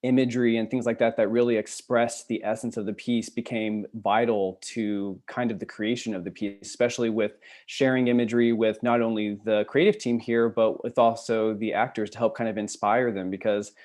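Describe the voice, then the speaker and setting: A man's voice: lowish voice, level tone, moderate pace, few pauses, a little mellow. A young man explaining his design work on a video call panel in an articulate, earnest way. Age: young adult. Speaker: student scenic designer.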